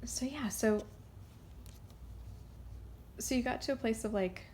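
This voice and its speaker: A woman giving a casual, unscripted card reading, rambling and halting as she drifts off on tangents. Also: a little high, a little mellow, slight background noise. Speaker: tarot card reader.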